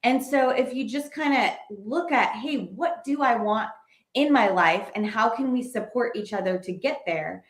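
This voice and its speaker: A woman's voice: fairly high pitch, moderate pace. A woman co-hosting a casual advice chat, explaining steadily and listing practical questions. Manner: steady, explanatory, lists questions, light laughs. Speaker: co-host. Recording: roomy echo.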